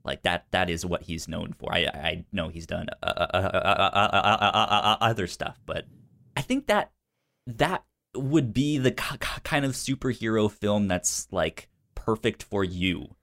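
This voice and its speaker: A man co-hosting a casual film chat, speaking haltingly and often repeating words mid-sentence. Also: low-pitched, vocal fry, a bit bright. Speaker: podcast co-host.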